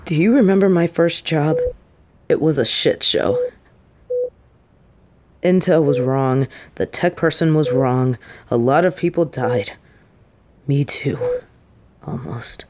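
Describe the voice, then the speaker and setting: A woman's voice: rumbling low, warm tone. A woman playing a stern, fiercely protective secret agent secretly in love, in an intimate, emotional audio roleplay. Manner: slow, emphatic, emotionally intense delivery.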